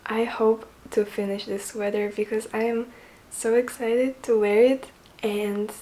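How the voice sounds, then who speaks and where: A young woman with a soft, quiet voice talking casually in a chatty vlog, earnest and a little hesitant. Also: medium pitch, slight background noise, rough recording. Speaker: vlogger.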